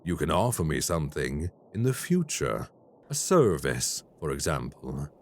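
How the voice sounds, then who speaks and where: A man voicing a cold, calculating crime boss in an audio roleplay, speaking in a slow, measured and formally menacing way. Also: very deep voice, big pitch swings, exaggerated voice. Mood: menacing offer.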